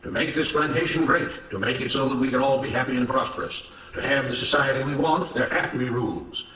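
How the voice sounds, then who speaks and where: A man's voice: medium pitch, fairly quick, crowd noise, voice pushed up, natural voice. A man voicing an authoritarian plantation boss in a story, lecturing on order and duty with pompous self-righteousness. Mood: proclaiming. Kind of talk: dramatized story reading. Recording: roomy, noisy, distant microphone.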